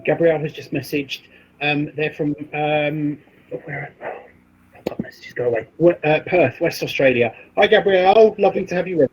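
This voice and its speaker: Husky, higher-voiced man. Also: normal speed, dull audio. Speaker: co-host of a knitting livestream. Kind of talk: casual chat, reading viewer comments.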